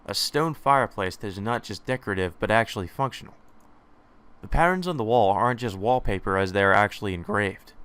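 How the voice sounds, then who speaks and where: A man hosting a let's play, reading a visual novel's first-person text aloud in a measured, slightly hesitant way. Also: fairly low pitch, fairly bright tone, clear, resonant tone.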